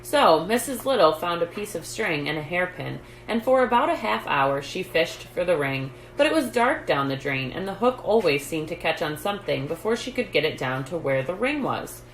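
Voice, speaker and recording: A woman with a lowish voice reads a children's story aloud, deliberately and with emphasis. Her pitch rises and falls in a lively way. The room is echoey. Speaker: storytime host reading a children's book. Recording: roomy echo, background noise.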